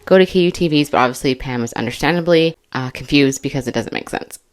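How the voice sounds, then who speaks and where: A woman's voice: extremely low pitch, fast. A woman giving casual, opinionated video commentary in an informal, emphatic way.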